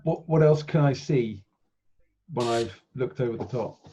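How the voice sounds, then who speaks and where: A man's voice: medium pitch, a little slow, mellow, a little hiss or hum. A man talking casually as a player in a tabletop game, on a slightly muffled microphone.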